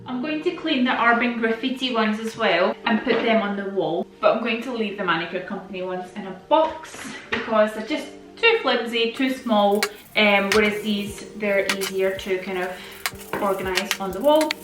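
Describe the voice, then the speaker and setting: A woman's voice: medium pitch, normal speed, over music, rough recording. A woman from Estonia talking casually and playfully to herself and her viewers, like a home vlogger. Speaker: vlogger, nail technician. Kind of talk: casual chatty vlog voice-over while tidying.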